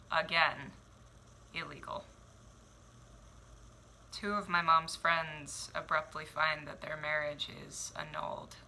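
A woman in her twenties from Oregon performing spoken word poetry at home, measured and reflective, with deliberate pauses. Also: deep voice, pushed and bright, slight background noise, poor audio. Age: 20s. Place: small home room indoors. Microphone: headphones and webcam.

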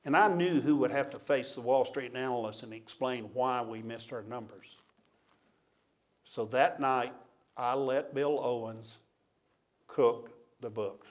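An older man gives a keynote at a podium microphone. His voice is medium in pitch, and he tells his story in a plainspoken, confessional way. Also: measured pace. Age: 60s or older. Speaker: keynote speaker. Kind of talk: storytelling public lecture. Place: auditorium stage at a lectern. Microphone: podium gooseneck microphone.

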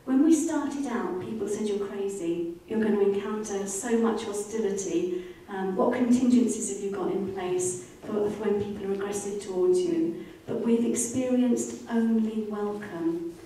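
Woman, measured public speaker, mid pitch, slightly distant mic, heavy room echo. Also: mellow. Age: middle-aged. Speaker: charity representative, speaker. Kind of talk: prepared public talk. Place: indoor library event space with audience. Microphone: handheld microphone. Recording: very echoey room, distant, faint noise.

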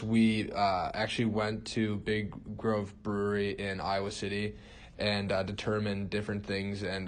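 A young man, a student, narrating a class project with a flat, halting delivery and many fillers. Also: fairly low pitch. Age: young adult. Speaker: student presenter. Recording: roomy, distant mic, faint noise.

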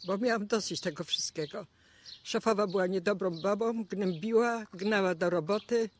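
An older woman from Poland giving an oral history interview, halting and repeating words as she searches for them. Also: mid-range voice, a little hiss or hum.

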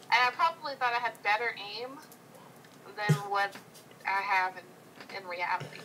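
A woman's voice: mid-range voice, normal speed, pushed and bright, slight background noise, rough recording. A woman guest on a casual video call, chatting about improv in a bubbly, playful way with frequent giggles.

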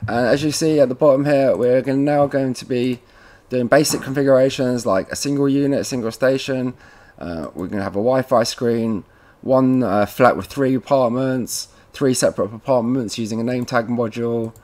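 Male tutorial presenter, medium pitch, informal installer type, relaxed wording, guiding viewers through on-screen setup steps. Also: moderate pace. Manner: informal, addresses viewers as guys. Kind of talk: casual step-by-step software tutorial.

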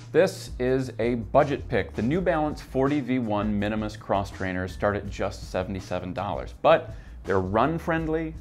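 Host, man, 30s: brisk, low-voiced news read from a desk, faint music behind. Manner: brisk, upbeat presenter reading from notes. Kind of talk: scripted product news roundup. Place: office with shelves, seated at a desk.

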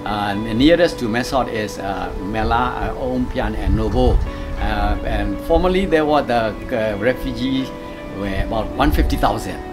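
A man's voice: fairly low pitch, animated, moderate pace. A man, explaining things in a documentary interview. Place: outdoors, rooftop terrace. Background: guitar music.

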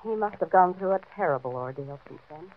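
A woman's voice: lowish voice, animated, a little fast, lightly acted. A woman playing a compassionate hospital nurse in a 1940s radio drama. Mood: pitying, astonished. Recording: tinny vintage radio recording.

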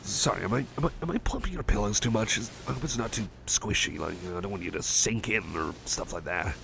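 A man playing a gentle cyborg friend, fry-edged. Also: lowish voice, expressive, fast, slight background noise, heavily acted. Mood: soothing. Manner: soft, hesitant and rambling, with many fillers and restarts; drops to soft whispers at times. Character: gentle caring male cyborg friend, young adult. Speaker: ASMR roleplay creator. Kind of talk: ASMR roleplay, personal attention.

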